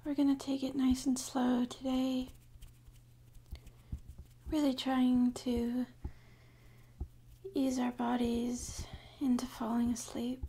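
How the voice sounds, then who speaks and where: A woman speaking softly and half-whispering in a gentle, soothing, unhurried way, as an ASMR guide leading a sleep meditation. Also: high voice, completely flat delivery. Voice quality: soft, breathy, half-whispered.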